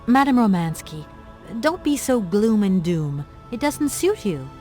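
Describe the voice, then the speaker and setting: A woman's voice: mid-range voice, sing-song, unhurried, mellow, soft background music. An actress playing an earnest young professional woman, courteous and businesslike, in a video game. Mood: soothing.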